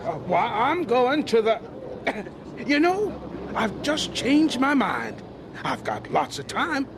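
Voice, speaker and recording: An actor playing a kindly, absent-minded elderly gentleman scientist in a radio drama, hesitant and given to repeating words as he rhapsodizes. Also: extremely high pitch, big pitch swings, slow, exaggerated voice. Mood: delighted, flustered. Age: older. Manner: hesitant, repeating words, rapturous about nature. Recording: noisy old radio drama recording.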